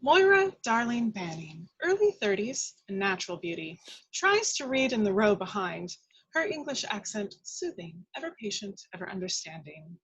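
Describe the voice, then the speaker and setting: A woman's voice: medium pitch, sing-song, moderate pace, slightly rough, highs cut off. A woman reading stage directions as the narrator of a script table read, in a dramatic storytelling style.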